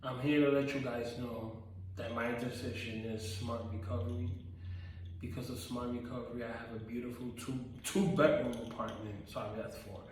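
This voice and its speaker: A man's voice: mid-range voice, flat delivery, moderate pace, darkish tone, distant mic, a little hiss or hum. Earnest man in a very echoey room. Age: 30s. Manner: earnest, repetitive, with frequent ums and self-corrections. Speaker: vlogger. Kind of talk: personal testimony vlog. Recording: very echoey room, voice away from the mic.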